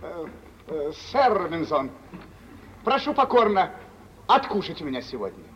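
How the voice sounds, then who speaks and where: High-voiced, stiffly formal young suitor. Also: male voice, big pitch swings, a bit bright. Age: young adult. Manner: formal, halting, self-important. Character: stiff, touchy young suitor putting on dignity. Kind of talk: 1940s Russian stage acting for radio. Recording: muffled, hissy old radio play.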